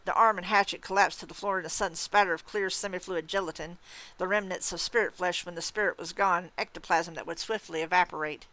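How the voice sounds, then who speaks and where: A woman reading a story aloud in dramatic, expressive, suspenseful narration. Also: fairly low pitch, fast, poor audio. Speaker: story reader. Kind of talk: dramatic audiobook-style narration.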